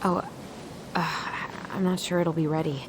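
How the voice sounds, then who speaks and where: A woman's voice: deep voice, fairly even intonation, a little slow, background noise. An actress playing a guarded young American woman in a video game, with a hesitant, conversational way of talking. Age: young adult. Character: guarded young woman, loyal to her family, easily exasperated. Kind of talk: naturalistic video game dialogue.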